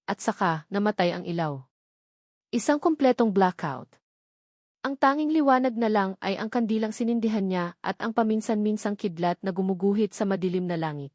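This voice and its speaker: A woman's voice: medium pitch, expressive, normal speed, darkish tone, no room sound. Woman narrator with dry, close sound. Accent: Filipino. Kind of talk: scripted dramatic story narration.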